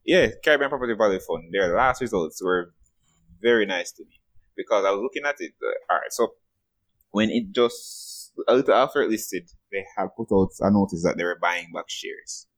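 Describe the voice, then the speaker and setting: Male co-host, Jamaican accent, slightly low voice, colloquial and opinionated finance chat. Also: normal speed, rough recording. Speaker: podcast co-host reviewing stocks. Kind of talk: casual unscripted financial chat.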